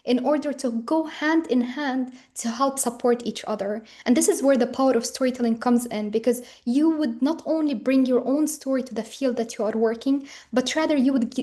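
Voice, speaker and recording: A woman's voice: high-pitched, mellow. A young woman answering questions as a guest in a webinar interview, speaking earnestly and reflectively with frequent "like" fillers, over a video call. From Palestinian and Ukrainian background.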